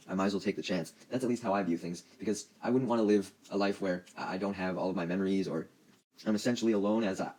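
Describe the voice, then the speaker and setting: A man's voice: on the low side, darkish tone, rough recording. A man giving casual, opinionated news commentary as an online host, in informal language with some swearing.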